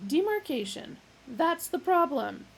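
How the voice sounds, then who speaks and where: A blustering older male philosopher in a storybook, put on by a woman reader. Loud, hectoring and self-important, high-pitched with a gravelly edge. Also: sing-song, unhurried, slight background noise, exaggerated voice.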